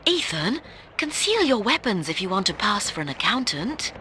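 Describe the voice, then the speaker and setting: A woman playing a brisk spy team colleague who gives instructive, authoritative mission briefings in a video game. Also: mid-range voice, big pitch swings, sharp tone, faint music behind.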